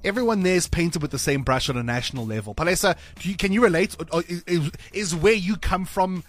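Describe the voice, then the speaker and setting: A South African man hosting a breakfast radio show, chatting in a lively, expressive way and laughing easily. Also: high-pitched, fast, no room sound. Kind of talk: casual radio chat. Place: radio studio. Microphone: studio microphone.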